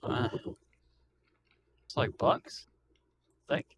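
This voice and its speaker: A man's voice: extremely slow, a bit bright. A man playing a tabletop roleplaying game, chatting casually and wryly, sometimes speaking in character. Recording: clear background noise with clicking.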